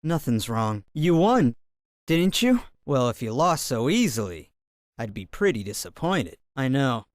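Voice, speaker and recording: A cocky, brooding young male fighter from a fighting game. His short lines are delivered slowly and dramatically, with big swings in pitch. The recording is close and dry. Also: big pitch swings, dry recording. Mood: cocky, nonchalant. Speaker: video game voice actor. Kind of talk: fighting game character voice clips. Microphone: close dry studio recording.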